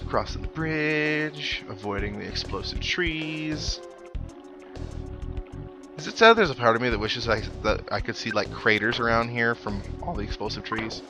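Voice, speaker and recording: A man's voice: mid-range voice, moderate pace. Man chatting over video game music. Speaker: let's play host. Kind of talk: casual video game commentary. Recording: game music playing behind the voice.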